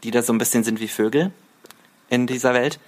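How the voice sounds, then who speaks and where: A man's voice: medium pitch, a little fast. A young man talking casually and humorously as a podcast guest, explaining things in a playful, mock-serious way.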